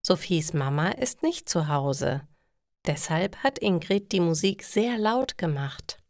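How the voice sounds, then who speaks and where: A woman reading a scripted story slowly for language learners. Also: fairly low pitch, animated, darkish tone, no echo, studio quality. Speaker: narrator reading stories for German learners.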